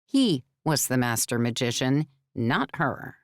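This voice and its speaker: A woman narrating scripted fiction as an audiobook, in a measured storytelling manner. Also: a bass voice, very animated, no room sound, clean studio sound.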